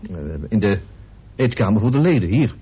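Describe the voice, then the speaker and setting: An actor playing a defiant, scornful suspect in a radio murder mystery, challenging and dismissive. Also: male voice, fairly low pitch, expressive, a little fast, breathy edge. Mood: uneasy. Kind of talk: radio play acting in Dutch. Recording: muffled old radio drama recording.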